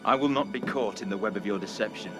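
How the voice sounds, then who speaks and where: A man's voice: on the low side, fast talker, over loud music, lightly acted. A man playing a zealous, accusing Puritan reverend in a video game, with formal, archaic, sermonizing oratory. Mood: solemn accusing. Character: zealous accusing 17th-century Puritan reverend at witch trial. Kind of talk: dramatic video game cutscene acting.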